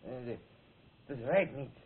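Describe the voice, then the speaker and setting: A man's voice: medium pitch, slow, a little hiss or hum, close to an everyday voice. A man playing a drunken, threatening bully with slurred, rambling speech in a radio play. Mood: groggy, grumbling. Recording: muffled, phone-like old radio recording.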